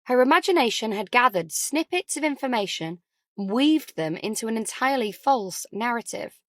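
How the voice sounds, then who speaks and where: High-voiced woman narrating fiction. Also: animated, moderate pace, no room sound, clean studio sound. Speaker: audiobook narrator.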